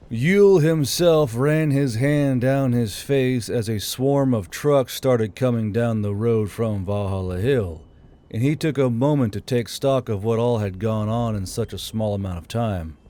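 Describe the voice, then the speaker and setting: A man narrating fantasy fiction from a script as an audiobook reader. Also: mid-range voice. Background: soft background music.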